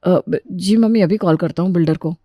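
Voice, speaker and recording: A woman voicing a polite, practical husband and son in an animated family story, plain-spoken and placating. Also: lowish voice, fairly quick, no room sound, studio quality, natural voice. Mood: respectful.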